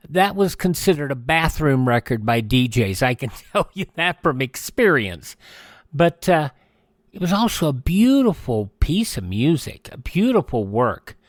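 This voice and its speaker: An older American man hosting a radio show, chatting casually through dates and birthdays with rambling, folksy asides and hesitant pauses. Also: sits high, dry recording, studio quality. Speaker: radio host.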